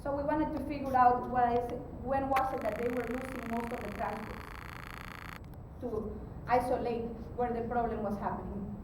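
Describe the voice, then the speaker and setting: A woman giving an explanatory technical presentation as a conference speaker, pausing hesitantly mid-sentence. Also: steady tone. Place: conference room, recorded from a distance. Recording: very echoey, distant mic, rumbling background noise.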